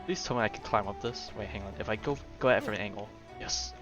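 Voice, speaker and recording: A man with a slightly low voice. He comments casually on a game and muses aloud to viewers. Game music is clearly audible behind him. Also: quick pace, highs cut off. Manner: chatty, musing, addresses viewers. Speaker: game streamer. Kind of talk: casual live gameplay commentary.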